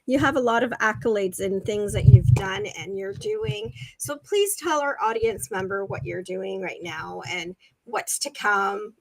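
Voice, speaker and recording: A woman's voice: medium pitch, animated, a little slow, vocal fry, hardly any pauses, slight background noise, poor audio. A woman hosting a livestream interview, bubbly and effusive, with frequent ums. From Canada. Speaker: host.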